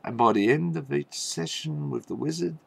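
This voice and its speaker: A man telling a mock fairy tale in a theatrical, tongue-in-cheek way, with halting pauses and knowing asides. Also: fairly high pitch, vocal fry, slightly rough. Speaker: storyteller.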